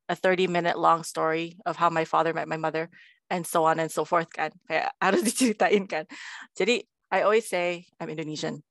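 A woman's voice: fairly low pitch, dry recording, studio quality. A woman in her thirties, a podcast guest chatting casually, switching between English and Indonesian as she tells stories. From Philippines. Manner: mixes English and Indonesian, animated storytelling. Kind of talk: casual chat.

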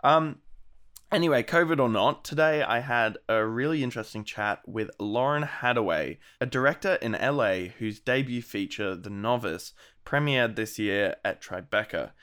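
An Australian man hosting a podcast interview, friendly and chatty, his voice gravelly from being sick. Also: medium pitch, moderate pace, a bit bright. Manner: friendly, chatty, many ums. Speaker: podcast host.

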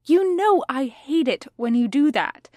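A woman's voice: sits high, animated, unhurried, darkish tone, no room sound, voice pushed up. Woman playing a prim, exasperated schoolboy. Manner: precise, formal wording. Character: prim, exasperated, pedantic seventh-grade schoolboy.

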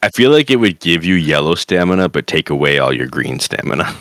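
A man co-hosting a podcast in casual chat, excitable and quick to laugh. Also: low-pitched, dry recording, clean studio sound. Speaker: podcast co-host.

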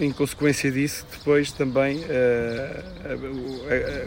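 A man's voice: mid-range voice, a little hiss or hum. A man in his thirties with a European Portuguese accent and a strained voice, answering interview questions as a local candidate, hesitant with many fillers and restarts. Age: 30s.

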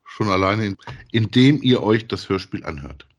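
A man co-hosting a casual podcast, speaking in a halting, deliberate way. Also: on the low side, animated. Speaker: podcast co-host.

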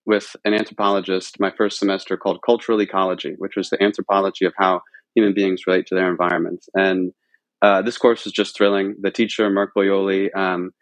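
A man's voice: low-pitched, little pitch movement, brisk, no echo, low-quality recording. An American man from central Pennsylvania answering as a podcast guest, talking informally and at length, often correcting himself as he goes. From central Pennsylvania, United States. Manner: informal, discursive, self-correcting. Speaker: podcast guest, religion researcher. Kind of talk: conversational interview answers.

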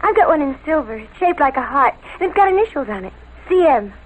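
A cute American schoolgirl of seventeen speaks in a high, girlish voice. She is naive and gushing, in the manner of old radio drama. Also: big pitch swings, quick pace, rough recording. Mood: flustered, eager.

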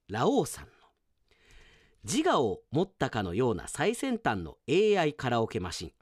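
Very animated male radio host. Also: a little high, no echo, clean studio sound. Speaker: radio announcer hosting a listener-mail drama show. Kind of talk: casual after-talk, reading listener mail and commenting. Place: dry radio studio.

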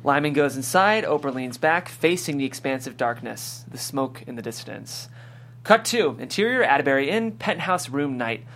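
A woman's voice: rumbling low, animated, moderate pace, a bit bright. A woman reading scene directions as scripted narration at a table read. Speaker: stage-direction reader.

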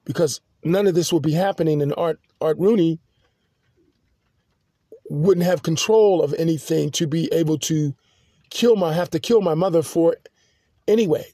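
A man hosting a podcast, telling a long personal story in a halting, rambling monologue full of restarts and fillers. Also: fairly high pitch, dark tone, no echo, clean studio sound. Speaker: podcast host.

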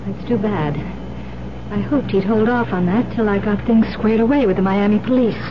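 A woman's voice: mid-range voice, normal speed, lightly acted. A woman playing a discreet helper in a radio drama, reassuring and businesslike. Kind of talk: old radio drama acting. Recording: dull radio recording, loud cabin noise.

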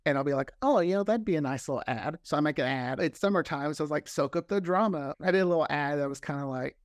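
A man with an American accent speaks as a guest in a casual interview. His voice sits a little high and is captured very dry. He is chatty and anecdotal, slipping into quoted dialogue as he explains things. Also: fast talker, dry recording. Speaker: interview guest, fiction podcast creator.

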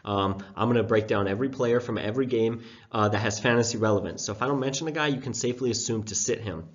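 A man's voice: lowish voice, fast. Stuffy-nosed man hosting fantasy talk. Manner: chatty, self-deprecating, loose fillers. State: sick, stuffed up, sore throat. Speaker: fantasy football podcast host. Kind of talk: casual breakdown talk. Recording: dull lo-fi sound.

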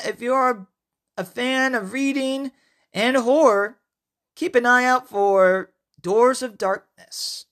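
A podcast host reading a horror story and promotional notes in a halting way, repeating phrases. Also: mid-range voice, pushed and bright.

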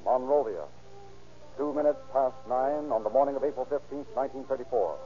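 A dull vintage radio recording of a male announcer with a mellow, mid-pitched voice. He delivers scripted copy in a formal, measured 1930s broadcast style. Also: soft background music.

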